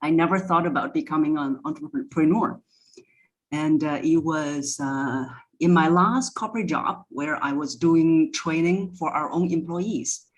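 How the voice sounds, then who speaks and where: A woman who works as a voice coach, answering interview questions conversationally with measured, hesitant phrasing. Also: low voice, low-quality recording. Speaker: voice coach and podcast guest.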